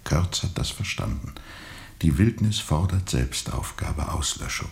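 A man acting a brooding, haunted steamboat captain in a radio drama, grave and measured, often sinking toward a whisper. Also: rumbling low, lively intonation, gravelly, full character voice. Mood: haunted, hushed. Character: brooding, haunted steamboat captain recalling a colonial river journey.

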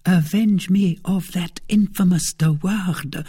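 A haughty, scheming noblewoman from a storybook , acted out by a male narrator. The voice is lifted high and swoops widely. She speaks deliberately and theatrically, seductive with a vindictive edge. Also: lively intonation, creaky, warm tone, husky, full character voice. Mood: venomous. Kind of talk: audiobook character acting of a classic novel.

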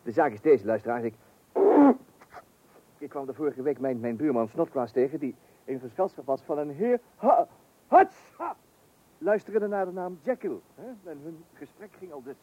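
Hesitant, good-natured man, animated pitch. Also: moderate pace, husky. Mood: sniffly, apologetic. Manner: hesitant, colloquial, chatty. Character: good-natured, hesitant novice angler telling his misadventure. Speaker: narrator and lead character. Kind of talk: comic radio play acting. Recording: muffled, noisy old radio recording.

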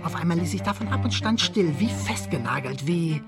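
A man's voice: fairly high pitch, expressive, brisk, music in the background, lightly acted. An actor playing a proud, irritable man in a radio play, speaking and narrating in a wordy, dry, articulate way. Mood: wry, narrating. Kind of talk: radio play acting and first-person narration.

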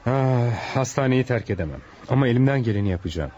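An actor in a radio drama playing a calm, helpful male doctor, courteous and businesslike. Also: medium pitch, quick pace, a little hiss or hum, natural voice. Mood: reassuring. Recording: dull lo-fi radio recording, faint music.

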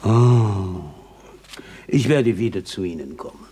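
An actor playing an eccentric, ceremoniously polite beggar in a German radio play, pompous and measured. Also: male voice, on the low side, warm tone, husky. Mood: lofty, courteous.

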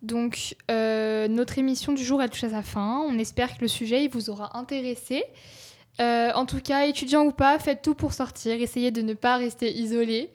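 A woman's voice: on the high side, steady tone, normal speed, a little mellow. A young woman, a university student hosting a casual radio chat, friendly and enthusiastic. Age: young adult.

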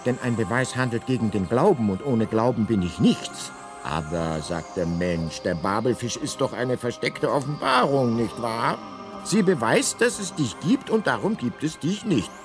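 An actor playing a talking guidebook in a radio drama, narrating with deadpan, mock-scholarly precision and dry irony. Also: male voice, on the low side.

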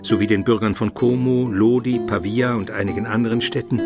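An actor playing a learned Byzantine chronicler, narrating a radio drama in a measured, precise literary manner. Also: male voice, fairly low pitch, fairly even intonation, over music, natural voice. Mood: bemused, weary.

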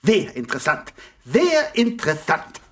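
A man playing a fussy, excitable gentleman with poetic airs in a German radio play, speaking in a florid, effusive way. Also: a little high, measured pace, full character voice.

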